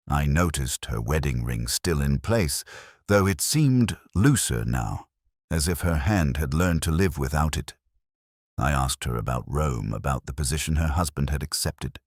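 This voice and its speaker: A man reading a scripted first-person detective story as narrator, in a measured, solemn, literary manner. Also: rumbling low, husky, dry recording, clean studio sound.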